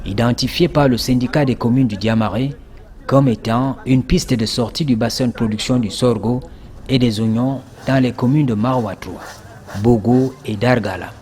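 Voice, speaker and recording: A man's voice: mid-range voice, normal speed, a little mellow. A man narrating a scripted news report as a reporter.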